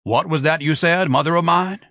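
A man's voice: on the high side, brisk, pushed and bright, no room sound, studio quality, pitched above the performer's own voice. A man voicing a pompous, lazy grown man who speaks with haughty, elaborate, condescending diction.

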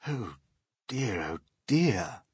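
A kindly old storybook grasshopper, voiced by a man, speaks with courtly flourish. The husky voice swoops up and down theatrically, effusive and grand. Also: on the low side, big pitch swings, extremely slow, no room sound, clean studio sound, voice pushed up, heavily acted. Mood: dismayed.

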